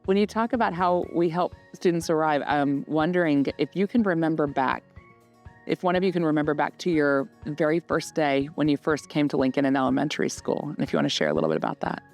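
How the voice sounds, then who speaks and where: A woman's voice: low-pitched, expressive, quick pace, faint music behind. Woman hosting a reflective school interview. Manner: reflective, asks open questions with gentle follow-ups. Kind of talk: conversational school podcast interview.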